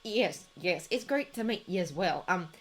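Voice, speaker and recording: A woman's voice: on the low side, lively intonation, moderate pace. A woman voicing a friendly, nervous male tourist who speaks sheepishly. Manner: sheepish and warm.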